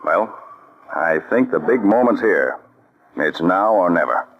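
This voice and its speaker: An actor playing a firm, calm counterspy chief in an old radio drama, speaking in a measured, authoritative way. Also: male voice, low-pitched, breathy edge, heavily acted. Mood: businesslike. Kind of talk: 1940s radio acting. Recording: dull lo-fi old radio recording.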